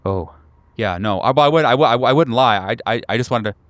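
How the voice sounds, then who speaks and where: A man's voice: mid-range voice, animated, a little fast, a bit bright, no echo, clean studio sound, close to an everyday voice. A man voicing a painfully awkward young recluse in his twenties in a tabletop horror game, stammering, repeating himself and apologizing. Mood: anxious, defensive.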